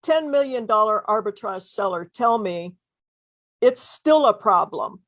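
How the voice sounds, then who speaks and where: A woman's voice: medium pitch, expressive, a little slow. Female interview host, mid-range voice, enthusiastic and effusive. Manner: effusive, repeats phrases for emphasis. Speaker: host interviewing successful online sellers.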